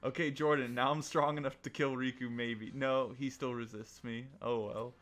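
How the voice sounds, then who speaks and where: Playful young man with a bright, forceful voice. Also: medium pitch, flat delivery, moderate pace. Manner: playful, jokey. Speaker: host and player of an online game battle. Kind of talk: casual gaming banter. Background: game music.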